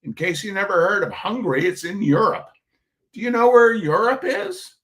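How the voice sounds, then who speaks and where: A man's voice: sits high, very animated, normal speed, a bit bright, full character voice. A man playing an indignant letter writer from a comic essay, emphatic and condescending.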